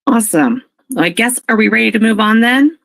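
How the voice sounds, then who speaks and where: Woman, webinar presenter, froggy with allergies, gravelly fry, dry recording, instructive. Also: on the high side, expressive, brisk, fairly bright tone, no room sound. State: froggy from allergies, clearing throat.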